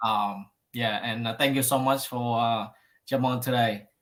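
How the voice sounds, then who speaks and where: Halting man hosting an interview. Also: medium pitch, steady tone, crowd noise. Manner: halting, many fillers. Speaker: podcast host.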